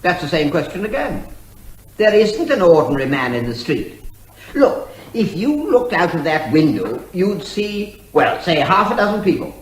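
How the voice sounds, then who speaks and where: Man playing high-pitched, pedantic courtroom witness. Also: sing-song, moderate pace. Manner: pedantic, argumentative, hesitating as he reasons. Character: pedantic, unflappable male witness quibbling with counsel. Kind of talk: courtroom radio comedy drama. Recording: roomy, echoing sound.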